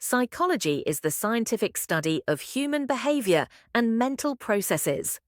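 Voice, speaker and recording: A woman's voice: on the high side, sing-song, moderate pace, no echo, studio quality. A woman reading a scripted academic passage aloud as a sample answer. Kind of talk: read-aloud scripted narration.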